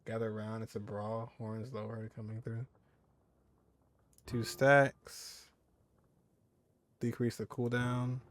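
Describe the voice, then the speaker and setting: A man's voice: on the low side, level tone, takes it slowly, long pauses. A man giving casual playthrough commentary as a gaming streamer, with mild exclamations.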